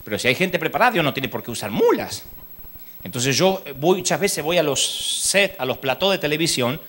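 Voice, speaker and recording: A man with an Argentine accent preaching a sermon at a church congress, telling lively stories and mimicking the people in them. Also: a little high, fairly quick. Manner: lively storytelling, mimics people in his anecdotes; rises to loud exhortation.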